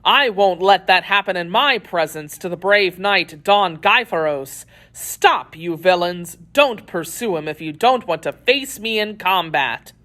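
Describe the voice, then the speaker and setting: A woman's voice: on the low side, lively intonation, unhurried, few pauses, fairly bright tone. Woman as a pompous, grandiose male knight. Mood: indignant, commanding. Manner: pompous declaiming. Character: deluded male knight, indignant, grandiose, chivalrous.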